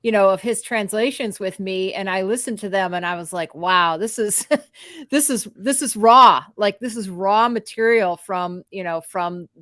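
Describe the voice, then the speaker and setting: A woman co-hosting a political talk show over a video call, chatty and excited. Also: mid-range voice, normal speed, no room sound, clean studio sound. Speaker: co-host.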